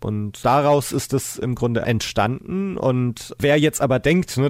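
A man's voice: mid-range voice, darkish tone, dry recording. A man chatting casually as a podcast host. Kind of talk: casual discussion.

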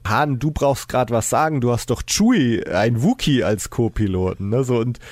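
A man's voice: medium pitch, moderate pace, a little mellow, no room sound. A man hosting a podcast, talking casually in a reflective, explanatory way. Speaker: podcast host. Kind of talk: casual discussion.

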